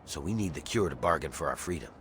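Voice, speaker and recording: A low-pitched, gruff game character, an embittered slave plotting revolt. He talks in a conspiratorial, matter-of-fact way, with clear ambient noise behind him. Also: expressive, fast talker. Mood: grim, determined. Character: grim embittered rebel slave man scheming for freedom. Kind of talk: video game character dialogue.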